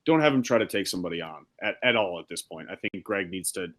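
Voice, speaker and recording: A man's voice: low-pitched, animated, fast, a bit bright. A young man co-hosting a casual sports chat, blunt and opinionated, swearing now and then. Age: young adult. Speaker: podcast co-host.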